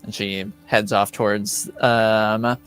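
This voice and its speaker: Slow, halting male game master. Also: lowish voice, level tone, loud music behind the voice. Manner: halting, searches for words. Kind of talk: improvised tabletop game narration.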